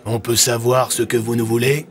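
A man playing a serious, easily irritated police commissioner in an audio drama, with a gravelly voice. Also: medium pitch, little pitch movement, normal speed, lightly acted. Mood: stern, concerned.

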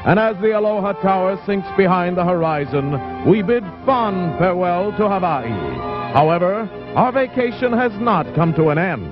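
A man's voice: sits high, lively intonation, normal speed. A man narrating a 1950s travelogue in a florid, formal scripted style. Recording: muffled 1950s film soundtrack. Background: music.

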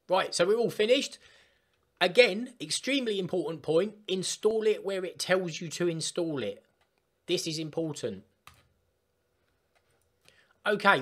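A man presenting an informal step-by-step software tutorial in an emphatic, instructional way. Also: fairly high pitch. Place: voice-over for screen recording.

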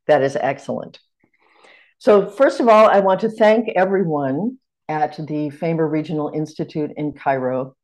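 Middle-aged american lady running an online class on a video call, calm and welcoming. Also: sits low. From United States. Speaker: teaching workshop facilitator. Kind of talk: online lecture.

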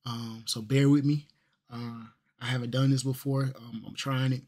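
A man's voice: medium pitch, level tone, moderate pace, mellow. A man, vlogging casually from a small bedroom into a desk microphone, loose and rambling with lots of fillers and slang. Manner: laid-back, rambling, heavy on fillers and slang. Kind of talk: casual fitness vlog. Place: small bedroom with gaming setup.

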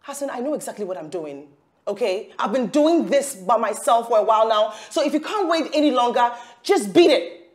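An actress playing a defiant, calculating wife and mother in a screen drama, arguing with a sharp tongue. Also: a little high, lively intonation, brisk, natural voice. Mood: defiant, resolute.

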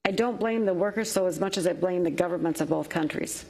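A woman's voice: lowish voice, quick pace, darkish tone, husky. A woman in her fifties, a factory worker, answering interview questions in a plain-spoken, anxious way, on a lapel mic in a studio. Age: 50s. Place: television studio.

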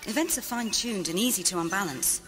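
An actress playing an earnest, idealistic rebel in a science-fiction audio drama, solemn and visionary. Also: female voice, medium pitch, lively intonation, fast, natural voice. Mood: solemn, determined. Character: earnest, idealistic woman rebel with mystical conviction. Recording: music behind the voice.

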